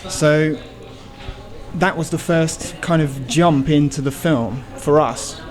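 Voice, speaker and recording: Higher-voiced man explaining in an interview. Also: slow. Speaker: film crew member being interviewed. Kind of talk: casual interview talk.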